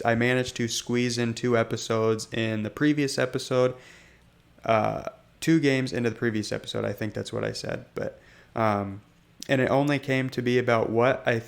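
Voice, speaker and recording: Young male gaming host, raspy and hoarse, loose rambling delivery, mid pitch. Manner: rambling, hesitant, lots of ums. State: hoarse from illness. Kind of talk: casual gameplay commentary.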